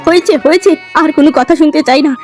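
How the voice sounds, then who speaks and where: A woman's voice: sits high, racing, a little mellow, lightly acted. A woman voicing a stern, anxious poor village parent, scolding and insistent, in a melodramatic children's cartoon. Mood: angry scolding. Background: music.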